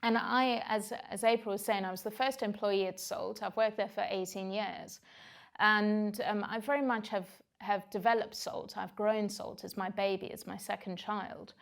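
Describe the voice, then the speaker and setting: A woman's voice: mid-range voice, steady tone, normal speed, gravelly. A woman in her forties from South Africa with a South African accent, giving a candid personal talk to an audience, reflective and full of "um" fillers. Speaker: speaker sharing her story on stage.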